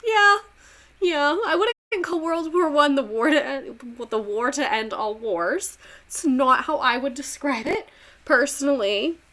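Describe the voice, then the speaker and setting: A woman's voice: high voice, expressive, measured pace, fairly bright tone. A young woman teacher with a Southern US accent, giving a rambling, chatty history lesson on a livestream, full of fillers and laughs.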